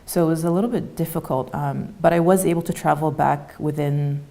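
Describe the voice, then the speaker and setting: A woman in her thirties who grew up in Canada, speaking as an interview guest in a soft, halting way with many fillers. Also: low voice, fairly even intonation, gravelly. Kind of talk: conversational interview.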